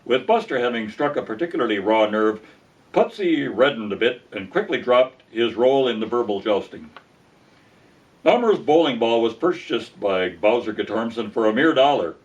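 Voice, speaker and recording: Male narrator, mid-range pitch, folksy wry storytelling, read aloud, muffled lo-fi sound. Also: animated, normal speed. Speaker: audiobook narrator reading a comic story. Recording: dull lo-fi sound, distant from mic.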